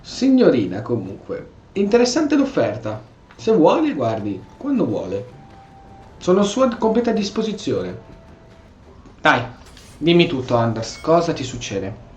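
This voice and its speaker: A man with an Italian accent giving casual, playful, excitable commentary as he role-plays through a video game. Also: a little high, normal speed, reverberant, soft background music. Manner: excitable, joking, exclamatory. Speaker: gameplay streamer.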